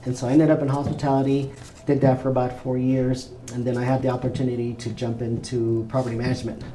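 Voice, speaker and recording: A relaxed man from Mexico answers interview questions in a warm, low-key voice, often pausing on "uh" and "you know." He sits back from the mic, and the room around him echoes. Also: slight background noise. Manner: relaxed, rambling with many fillers and restarts. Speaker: realtor. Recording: roomy, echoey, mic at a distance.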